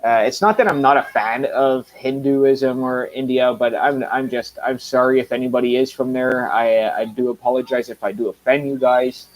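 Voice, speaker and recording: A man in his mid-thirties talks loosely on his own live stream, wandering between thoughts with plenty of uhs and restarts. His voice sits at an ordinary middle pitch for a man. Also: steady tone, normal speed, hardly any pauses, poor audio. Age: 30s. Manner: rambling, many fillers and restarts. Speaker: channel host. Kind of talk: casual live stream chat.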